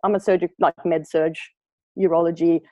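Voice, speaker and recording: A woman's voice: fairly low pitch, level tone, brisk, no echo, highs cut off. A Swedish woman in her thirties, a nurse, with a Swedish accent blended with Australian. Her first language is Swedish, and she chats casually as an interview guest, laughing often and restarting her sentences. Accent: Swedish mixed with Australian. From Sweden.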